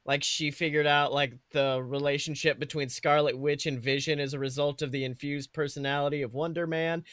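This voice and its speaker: A man co-hosting a casual movie review chat, talking in an excitable, slangy way with casual swearing. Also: a little high, a little fast, no echo, studio quality. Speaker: podcast co-host.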